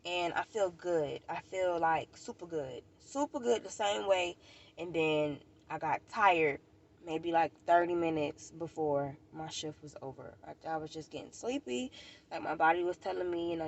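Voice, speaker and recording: A woman's voice: fairly low pitch, vocal fry. An American woman vlogger with a stuffy nose, chatting casually and informally to the camera, rambling and repeating herself. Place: outdoors at a park.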